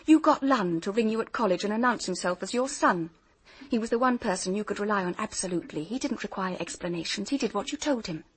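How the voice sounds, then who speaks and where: An actress playing a determined, earnest young private detective in a radio drama, direct and accusing. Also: female voice, mid-range voice, lively intonation, fast talker, slightly rough. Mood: firm, accusing.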